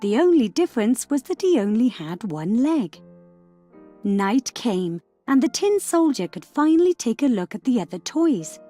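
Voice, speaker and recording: A woman storyteller narrating a scripted fairy tale for children in a measured, expressive way. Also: a little high, soft background music.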